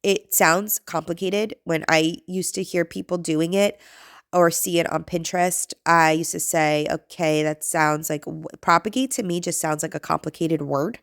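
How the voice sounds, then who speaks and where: Thirties mom vlogger, gravelly and nasal, rambling and self-deprecating, lowish voice, dry close sound. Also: female voice, fairly even intonation, normal speed, no echo. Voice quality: raspy and nasal. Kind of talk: chatty voice-over narration.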